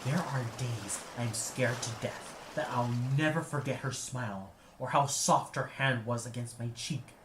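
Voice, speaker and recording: A man's voice: mid-range voice, a little slow, hardly any pauses, natural voice. A man playing an anguished, defiant composer and grieving father in an audio play, with an emotional, emphatic delivery. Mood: anguished grief. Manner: emotional, emphatic, swinging from mutters to cries. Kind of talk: audio play character acting. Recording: echoey, with noise behind.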